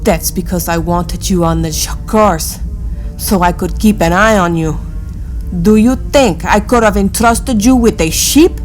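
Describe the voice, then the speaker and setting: A woman's voice: mid-range voice, a little slow, darkish tone, soft background music, close to an everyday voice. A woman playing a proud, defiant fleet admiral in a space audio drama, stern and scornful.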